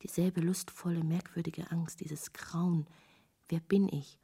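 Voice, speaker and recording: Breathy, half-whispering, brooding painter. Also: female voice, on the low side, little pitch movement, mellow. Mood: hushed, wondering. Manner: intimate, deliberate, brooding. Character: intense female painter hungry for forbidden knowledge, musing. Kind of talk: literary German radio play acting.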